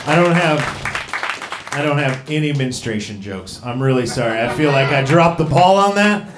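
A man's voice: medium pitch, lively intonation, normal speed, echoey room, crowd noise, background noise. A man in his thirties doing a stand-up comedy set, rambling and profane, poking fun at himself. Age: 30s. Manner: profane, rambling, self-deprecating. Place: comedy club stage.

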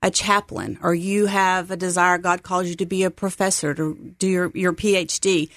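A middle-aged woman from Texas talks as an interview guest. Her voice sits a little low for a woman and sounds upbeat and earnest. The sound is close and dry. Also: flat delivery, moderate pace, fairly bright tone, no echo. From Texas, United States. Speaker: interview guest, seminary site coordinator. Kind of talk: conversational radio interview.

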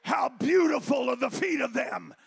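A pastor preaching a fiery Pentecostal sermon in a church, shouting as he urges the congregation to praise. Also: very high voice, big pitch swings, normal speed, vocal fry, husky. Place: church sanctuary.

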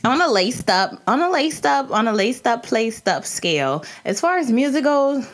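A woman's voice: a little high, moderate pace. A woman from the New Orleans area with a local accent, reviewing a rap album in a chatty, slang-filled solo podcast. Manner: chatty and animated, frequent restarts and slang.

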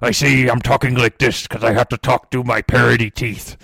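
A man's voice: medium pitch, flat delivery, moderate pace, vocal fry, fairly bright tone, slightly rough, no room sound. A man voicing a mischievous, biting male demon pirate parrot in an improvised comedy bit, growling pirate "yarg"s. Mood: menacing boastful.

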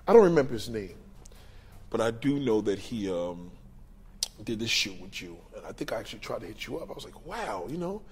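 A man's voice: on the low side, sing-song, a little fast, a little mellow, slight background noise. A man from New York with a New York accent, hosting a casual interview in a slangy, teasing way. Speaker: host.

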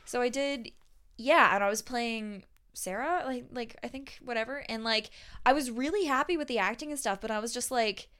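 A woman's voice: a little high, moderate pace, fairly bright tone, clean tone. A young woman chatting casually, bubbly and giggly with lots of fillers. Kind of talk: casual chat.

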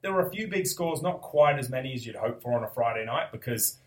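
A young Australian man hosting a relaxed sports podcast, his voice a little gravelly as he gets over a cold. He talks in a chatty, easygoing way, laughs often and restarts his sentences mid-thought. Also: mid-range voice, fast. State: recovering from a cold, slightly gravelly. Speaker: podcast host. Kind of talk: casual sports chat.

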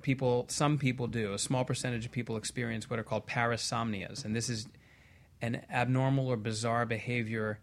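A man's voice: mid-range voice, steady tone, brisk, creaky. A man speaking as a guest hypnotherapist on a talk show, explaining and telling anecdotes conversationally.

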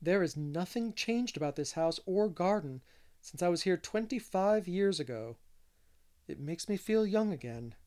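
A man reading as a wistful, gentle middle-aged gentleman in a storybook, speaking without hurry. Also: sits high, animated, measured pace.

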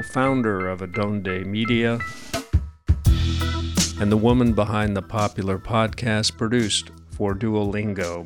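Host: man, lower voice, friendly, light music under. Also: fairly bright tone. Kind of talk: interview and narrated intro.

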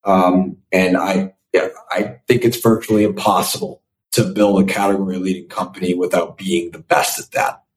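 A man's voice: deep voice, flat delivery, normal speed, few pauses, rough recording. A young man speaking as an investor guest in a podcast interview, answering thoughtfully and often restarting with repeated words. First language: Italian. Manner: thoughtful, repeats words when starting sentences. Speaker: venture capital investor, guest.

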